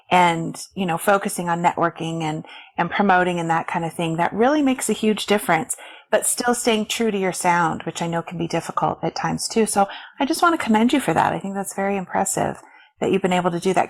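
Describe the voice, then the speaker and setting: Gravelly-voiced woman in her fifties. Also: on the low side. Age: 50s. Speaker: podcast co-host. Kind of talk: casual interview chat.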